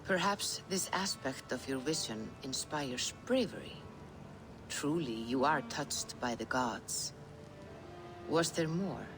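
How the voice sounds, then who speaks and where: An actress playing a grave, probing Norse seer in a video game cutscene, measured and reverent in manner. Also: female voice, a bass voice, lively intonation, heavily acted. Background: music.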